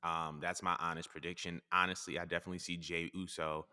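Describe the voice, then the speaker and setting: A man hosting a podcast, giving his opinions in a measured way with frequent hedges. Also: deep voice, flat delivery, sharp tone, smooth, in a large hall. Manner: measured, hedging, many ums and I-feel-likes. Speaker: podcast host. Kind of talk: casual podcast discussion.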